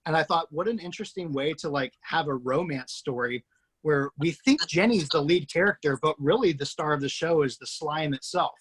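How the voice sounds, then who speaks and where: Man, husky voice, slightly high, casual rambling host, video call. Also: brisk, poor audio. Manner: relaxed, rambling with many fillers and restarts. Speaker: writer and host of the table read.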